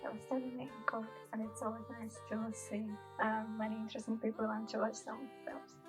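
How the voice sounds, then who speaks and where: Young Ukrainian woman poet, accented English, slightly high voice, hesitant and thoughtful, music under her. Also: level tone, fairly quick. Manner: thoughtful, frequent hesitations, soft laughs. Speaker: poet and filmmaker guest. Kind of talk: live Q&A at a film event.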